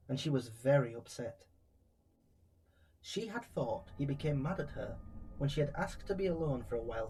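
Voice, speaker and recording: A man's voice: on the high side, mellow, slight background noise, low-quality recording. A man narrating creepy first-person true stories from a script, as a true crime storyteller.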